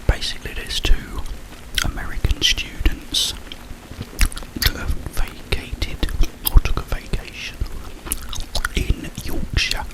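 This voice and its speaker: Guy doing asmr, whispery and really slow, rambling along with long gaps. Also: poor audio. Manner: hushed, halting, rambling delivery with long pauses. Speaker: ASMR host.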